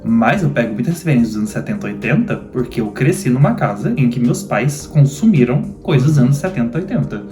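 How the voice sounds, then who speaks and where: A woman's voice: extremely low pitch, expressive, a little fast, few pauses, dark tone. A thirty-year-old Brazilian woman in casual chat, frank and opinionated in the way she talks. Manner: opinionated, frank, self-described grumpy. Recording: roomy echo, faint music.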